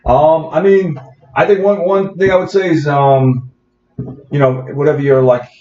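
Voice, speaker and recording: A man's voice: on the high side, fairly even intonation, unhurried, darkish tone, slight background noise. A man with a Bronx New York accent, a comedian guest in a casual podcast interview, rambling with lots of fillers and restarts. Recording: roomy, dull podcast audio.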